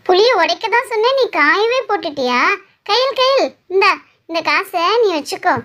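A kindly village neighbour played by a woman, extremely high and bright in voice. She talks in a chatty, exclaiming way, as in a homemade family drama. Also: big pitch swings, normal speed, heavily acted. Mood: friendly, grateful. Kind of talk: amateur Tamil family story drama.

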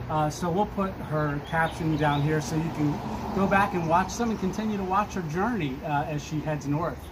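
A man's voice: a little high, moderate pace, background noise. A man in his fifties hosting a casual outdoor interview, talking in a rambling way with many fillers and laughs. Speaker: vlog host. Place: outdoors in front of a shop on a street.

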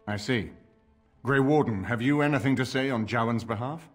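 An actor playing a grave older lord in a video game, speaking in a formal, measured, commanding way. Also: male voice, mid-range voice, faint music behind, close to an everyday voice. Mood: stern. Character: grave, dignified older bearded nobleman lord. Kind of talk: video game dramatic acting.

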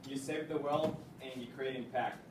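A man's voice: medium pitch, steady tone, normal speed. A teenage boy presenting casually and playfully, recorded in a classroom from a distant camera. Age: teens. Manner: casual, playful, bursts into singing. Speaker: student presenter. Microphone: far camera. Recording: echoey classroom from far off, noisy.

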